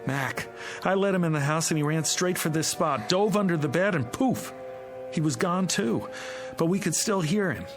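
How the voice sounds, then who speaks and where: A man's voice: on the high side, animated, normal speed, soft background music. An actor playing an anxious, urgent husband and father in a radio drama. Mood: tense. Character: anxious husband and father searching for his missing daughter.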